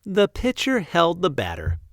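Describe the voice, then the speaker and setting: Male storyteller for a picture book: lively, swooping intonation, unhurried delivery, playful emphasis, close dry sound. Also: sits high, no room sound. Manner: deliberate, playful emphasis on repeated sentences. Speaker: read-aloud storyteller. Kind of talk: expressive picture book read-aloud.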